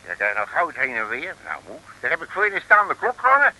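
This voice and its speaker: An actor playing a grumpy, bungling detective turned burglar, muttering nervous orders in a vintage Dutch radio comedy. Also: male voice, a little high, wildly swooping pitch, fast, bright, forceful tone, exaggerated voice, poor audio. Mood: exasperated. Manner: nervous, bossy, muttering grumbler. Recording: old radio recording with faint noise.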